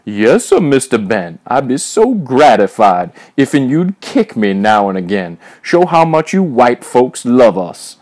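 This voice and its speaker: Fawning, drawling old servant. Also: male voice, mid-range voice, very animated, measured pace, hardly any pauses, darkish tone. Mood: fawning mockery. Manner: exaggerated fawning, drawling dialect. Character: shuffling old servile manservant, put on in mockery.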